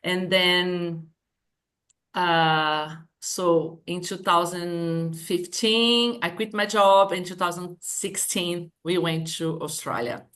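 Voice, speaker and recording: A woman's voice: lowish voice, steady tone, takes it slowly, fairly bright tone. Brazilian woman in her fifties. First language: Portuguese. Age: 50s. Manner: hesitant, explains step by step. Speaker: guest. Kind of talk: casual online interview.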